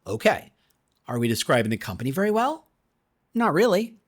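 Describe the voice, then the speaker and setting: A man's voice: on the high side, very animated, brisk. A man reading his own nonfiction book in a conversational way, with rhetorical questions and emphatic asides. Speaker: author reading own audiobook. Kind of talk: conversational scripted nonfiction narration.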